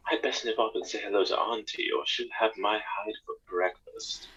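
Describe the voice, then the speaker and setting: A man playing a charming, jaunty young gentleman captain in a period radio mystery, with a breezy, gallant manner. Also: on the low side, steady tone, moderate pace, breathy edge, highs cut off.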